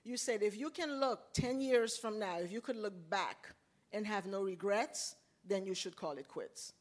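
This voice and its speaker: A woman's voice: medium pitch, normal speed. Earnest woman from Haiti giving testimony. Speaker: ministry leader sharing testimony.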